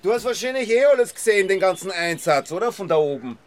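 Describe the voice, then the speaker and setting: A fairly high male voice with an Austrian accent as a casual villager. He chats loosely and conversationally, in radio drama style. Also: animated, quick pace. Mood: chatty.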